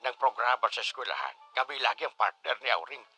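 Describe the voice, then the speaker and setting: A man with a Filipino accent playing a genial, flattering middle-aged suitor in a radio drama. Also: medium pitch, fairly quick, breathy edge, full character voice. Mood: genial, courting. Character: middle-aged male suitor, genial flatterer, mother's old dance partner.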